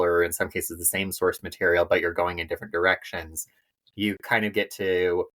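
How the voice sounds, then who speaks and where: A man's voice: low voice, fairly bright tone. A man co-hosting a podcast in a conversational interview style, thoughtful and articulate in how he talks. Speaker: podcast co-host.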